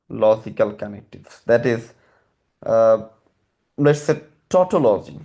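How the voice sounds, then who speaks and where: Slow, halting male lecturer. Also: mid-range voice, darkish tone. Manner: repeats and rephrases, halting restarts. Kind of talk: slow lecture explaining slides.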